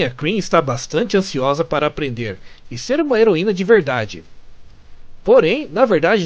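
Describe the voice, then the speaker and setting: A Brazilian man narrating a comic-story recap in a conversational, chatty way with amused asides. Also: on the high side. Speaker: narrator and fan translator of comic stories.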